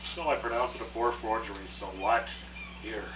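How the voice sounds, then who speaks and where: A man's voice: on the low side, normal speed, close to an everyday voice. A man playing a brash, cocky smuggler in a radio play, talking in a slangy, breezy, conspiratorial way. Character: brash, scheming smuggler of art treasures, cocky. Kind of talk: radio play acting, rehearsed dialogue. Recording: noisy, echoey distant room with hum.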